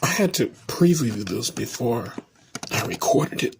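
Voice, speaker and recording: A vlogger reacting in a hushed, intense voice, often dropping to a whisper. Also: medium pitch, expressive, a little slow, gravelly, very noisy. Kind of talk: emotional reaction commentary.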